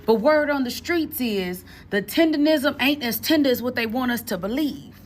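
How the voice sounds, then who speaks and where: A woman shares gossip in a conspiratorial tone. Her voice sits high. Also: moderate pace. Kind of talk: gossip commentary.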